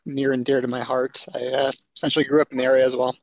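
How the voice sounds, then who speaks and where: Gravelly man on a dull phone connection. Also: mid-range voice, level tone, dry recording. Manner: apologetic, hurried. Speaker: building owner's representative.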